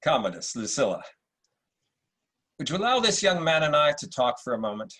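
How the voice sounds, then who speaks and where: A man's voice: fairly high pitch, very animated, brisk, pushed and bright, slightly rough, voice pushed up, heavily acted. A man playing a supercilious, world-weary Roman emperor in a stately, condescending, old-movie style at a table read. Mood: imperious.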